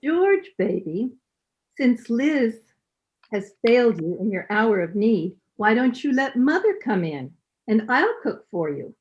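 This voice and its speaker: A woman's voice: a little high, big pitch swings, unhurried. A woman playing a smug, doting, meddling older mother in an old-time radio comedy, with a syrupy, needling delivery. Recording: dull, muffled home recording.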